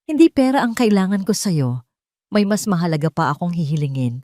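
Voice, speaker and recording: A woman voicing a male ex-lover, a scheming businessman who speaks calmly but with threat, in an audio drama. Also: lowish voice, brisk, no room sound, clean studio sound. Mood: threatening.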